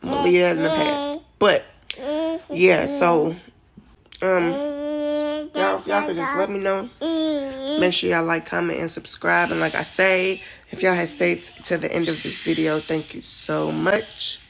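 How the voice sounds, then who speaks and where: Gravelly low-voiced woman, thirty-ish, rambly emotional vlog talk, kinda teary. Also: a little slow, a crowd in the background. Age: 30s. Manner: emotional, rambling, tearful at times. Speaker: vlogger. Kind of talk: casual vlog chat.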